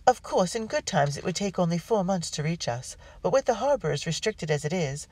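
A woman's voice: lowish voice, very animated, fairly quick, a little mellow, no echo, voice pushed up. A woman voicing a fussy, doting loyalist mother in the colonies, cooing and genteel in an audiobook.

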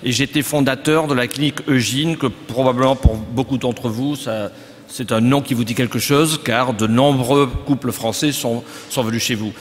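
A middle-aged man with a Spanish accent speaks frankly from a conference panel, stopping now and then to hunt for a French word. His voice sits at an ordinary pitch. It carries a long reverb from a large hall. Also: fairly even intonation, moderate pace, a little hiss or hum. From Spain. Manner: frank, hesitant, searching for French words. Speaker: panel guest, gynecologist. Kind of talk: panel discussion. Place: conference stage. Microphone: stand mic at table.

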